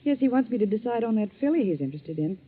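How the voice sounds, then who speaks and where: An actress playing a confident, blunt horsewoman in a 1940s radio drama, brisk in manner. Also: on the high side, very animated. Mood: brisk, scornful. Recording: dull old 1940s radio recording.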